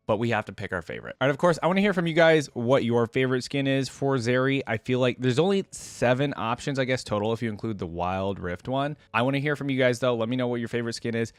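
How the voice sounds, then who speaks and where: A man's voice: medium pitch, clean tone, no room sound. A man hosting a reaction video, commenting casually and thinking aloud in an informal way as he goes.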